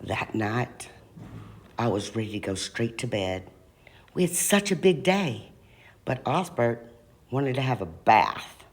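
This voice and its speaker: A woman reading a children's storybook aloud in an expressive way, slowing down for emphasis. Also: very deep voice. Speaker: school staff reader.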